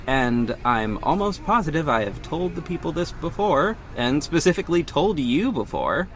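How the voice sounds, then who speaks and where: A man's voice: on the high side, a little hiss or hum. A man playing a wealthy orphaned young hero in an audio drama, guarded and earnest, with dry sarcasm and a defensive edge. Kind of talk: first-person audio drama narration and dialogue.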